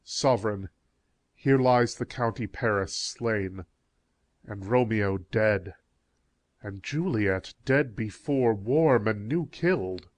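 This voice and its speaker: Grave, commanding watchman played by a man, slow-paced. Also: fairly low pitch, no room sound. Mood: solemn report. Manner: deliberate, authoritative delivery. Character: grave, commanding chief watchman on night patrol. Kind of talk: theatrical Shakespearean audiobook acting.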